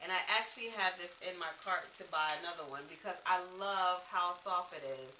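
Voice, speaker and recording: A woman's voice: fairly low pitch, brisk, sharp tone, roomy sound, distant mic, slight background noise, poor audio. A woman reviewing makeup brushes in a casual, rambling way, with a slightly congested voice from a cold and many ums and restarts. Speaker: beauty vlogger.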